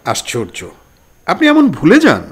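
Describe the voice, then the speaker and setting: A man acting an eager young listener, animated. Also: a little high, moderate pace, breathy edge, full character voice. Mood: doubting. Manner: inquisitive, challenging, eager. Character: curious, naive young listener interrupting a storyteller. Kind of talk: Bengali audio drama acting.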